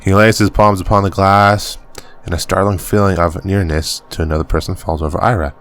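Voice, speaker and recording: Deep-voiced man narrating a game. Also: steady tone. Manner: halting reading, stumbles over words and laughs it off. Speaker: gaming channel host. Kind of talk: reading game text aloud as narration.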